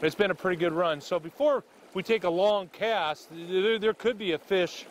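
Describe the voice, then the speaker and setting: A man's voice: high voice, moderate pace, a little hiss or hum. A middle-aged man, a fishing guide, wading in a river and explaining casting step by step, often checking in with "okay?". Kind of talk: on-the-spot fly-casting instruction.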